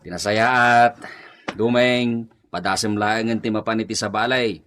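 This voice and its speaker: An actor playing a blunt, gruff older father-in-law in a comedy radio drama, with a commanding manner. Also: on the low side, fairly even intonation, unhurried, a bit bright, a little hiss or hum. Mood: refusing.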